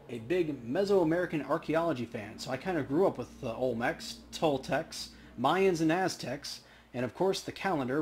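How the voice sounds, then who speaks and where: A man's voice: a little high, natural voice. Man reading as grizzled old ghost, video game character, wry rambling storyteller, faint noise behind.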